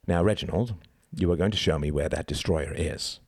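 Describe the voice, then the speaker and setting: A man voicing a stern, grimly controlled adult man who talks in a firm, measured, authoritative way in a story. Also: low voice, vocal fry, darkish tone, breathy edge, no echo, studio quality, exaggerated voice. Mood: controlled, insistent.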